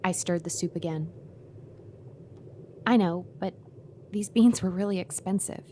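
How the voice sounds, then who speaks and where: An actress playing an uneasy, obedient woman who tells her eerie story in a measured way in a radio horror play. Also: on the low side, mellow, slight background noise, close to an everyday voice. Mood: doubtful. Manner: measured, first-person storytelling.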